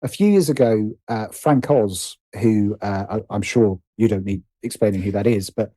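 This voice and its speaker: A man with a soft, mellow voice talks as a guest in a relaxed interview. He works through his thoughts animatedly, stopping and restarting phrases. The sound is dry, with little room around it. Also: fairly low pitch, no room sound. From Britain. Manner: animated, halting, restarts and repeats phrases as he thinks aloud. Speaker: interview guest, writer and producer. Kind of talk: casual conversational interview.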